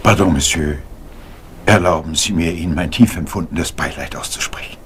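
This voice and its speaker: An actor playing a courteous, meticulous private detective in a German crime radio drama, speaking formally. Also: male voice, sits low, moderate pace, slight background noise. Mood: solemn. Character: fussy, courteous middle-aged male private detective.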